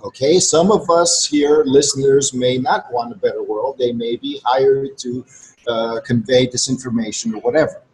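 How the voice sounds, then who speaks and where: A man's voice: medium pitch, moderate pace, a little mellow, poor audio. A man whose first language is Greek addresses listeners in a blunt, earnest, lecturing way. His voice sits in the middle of the male range. A faint hiss of noise runs under the recording. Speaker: regular co-host. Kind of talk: casual conversation to listeners.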